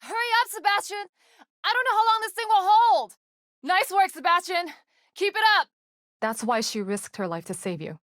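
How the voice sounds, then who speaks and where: A woman's voice: extremely high pitch, sing-song, normal speed, a bit bright, no echo, studio quality, exaggerated voice. An actress playing an earnest female team psychologist in a video game, speaking in an articulate, analytical way. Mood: shouting, panicked.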